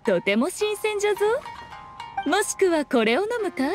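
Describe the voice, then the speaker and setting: A sly fox-spirit shrine maiden of an anime game, speaks high and with lively intonation. She is haughty and teasing in archaic, noble wording, with faint music behind. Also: moderate pace. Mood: inviting. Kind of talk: Japanese anime game voice acting.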